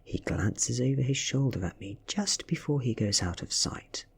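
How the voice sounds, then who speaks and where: Very husky, soft-spoken British man. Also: medium pitch, expressive, moderate pace. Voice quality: soft, breathy, near-whispered. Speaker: audiobook reader. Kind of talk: soft-spoken ASMR story narration.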